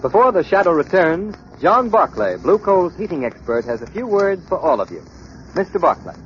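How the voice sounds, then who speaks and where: Higher-voiced man, 1930s radio announcer. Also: sing-song, normal speed. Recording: muffled 1930s radio recording.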